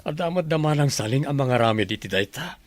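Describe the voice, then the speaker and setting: A man's voice: medium pitch, lively intonation, fairly quick, soft background music, natural voice. A man playing a supportive, remorseful middle-aged husband and father in an Ilocano radio drama, earnest and sentimental. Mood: tender, grateful.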